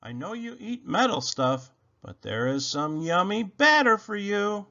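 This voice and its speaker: An excitable little storybook rabbit, acted out by a man, fussy and easily exasperated. The voice is pushed up high and swings expressively from word to word. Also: unhurried, lo-fi sound, exaggerated voice. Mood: coaxing, impatient.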